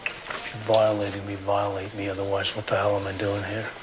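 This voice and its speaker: A man's voice: monotone, brisk, background noise, close to an everyday voice. An actor playing a surly, angry male gang parolee, growling under his breath. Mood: sullen anger. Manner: low growling, barely audible.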